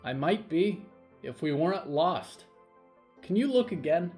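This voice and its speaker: A man as a grumbling young boy, raised pitch. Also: animated, unhurried, a little mellow, soft background music. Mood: grumpy, fed up. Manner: grumbling, folksy, stubborn. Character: grumpy, reluctant young boy lost in woods.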